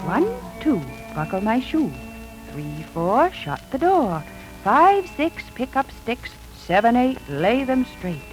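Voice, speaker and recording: An actress playing a gentle, hopeful young wife and mother, tender and expressive in 1940s radio drama style. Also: a little high, takes it slowly, poor audio. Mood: storytelling. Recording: vintage radio recording with music.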